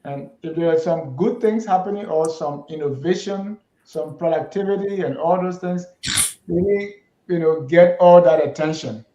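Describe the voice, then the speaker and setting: A man's voice: fairly high pitch, moderate pace, warm tone. A man hosting a panel discussion, talking in a reflective, hesitant way with many restarts. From Liberia. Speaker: host. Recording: echoey, dull lo-fi sound.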